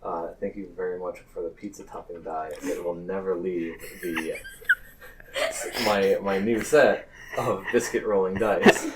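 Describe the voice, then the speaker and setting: A man improvising narration as game master of a tabletop game, pausing hesitantly mid-phrase. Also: sits low, fairly even intonation, recorded from a distance, background noise.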